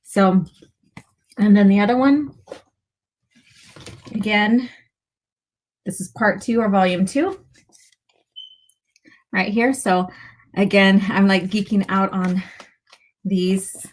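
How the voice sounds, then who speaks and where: A woman hosts a casual livestream in a mid-pitched voice with a slight rasp. She chats loosely with her viewers, pausing and drifting into small asides as she goes. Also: rough recording. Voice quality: slightly raspy. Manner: chatty, greeting viewers by turns, with pauses and asides. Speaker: livestream host. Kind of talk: casual live chat to viewers.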